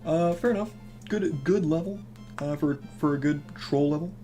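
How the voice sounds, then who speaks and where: A young man with a naturally hoarse voice, casually commenting on a game he plays while reading and answering his chat. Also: normal speed, dark tone, soft background music. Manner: low-key, mumbling, encouraging. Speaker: game streamer.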